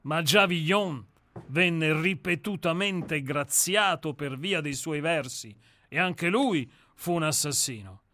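A man's voice: fairly high pitch, lively intonation, takes it slowly, pushed and bright, dry recording, full character voice. An actor reading the part of a famous male novelist who claims to be a murderer, speaking in a lecturing, sardonic, self-assured way in a radio drama reading. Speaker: character in a radio play reading.

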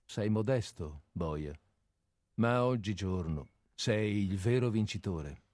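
A man plays a condemned man in a radio drama, sarcastic and bitter as he faces his own executioner. His voice is low and recorded very dry and close. His remarks come out scornful and cutting. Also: animated, slow, darkish tone, dry recording. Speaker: actor in a radio play.

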